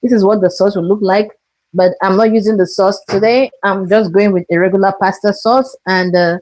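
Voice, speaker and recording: A woman's voice: medium pitch, fairly even intonation, brisk, darkish tone, low-quality recording. A woman from Cameroon hosting a live cooking stream from her kitchen, talking viewers through each step and greeting them. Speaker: cooking show host.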